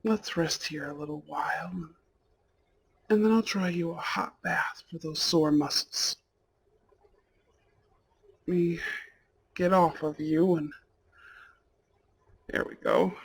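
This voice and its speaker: Devoted mafia heir played by a man, romantic ASMR roleplay: slow, intimate, breathy, raspy. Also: a little high, fairly even intonation, heavily acted. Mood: tender, loving. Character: devoted, tender mafia heir, newlywed husband and anxious father-to-be.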